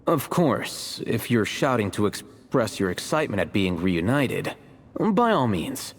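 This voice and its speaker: A man's voice: lowish voice, very animated, a little slow. A man playing a composed, sardonic young man in a video game, with wordy, dry, teasing wit. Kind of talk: English video game voice acting. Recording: long echoing reverb, faint noise.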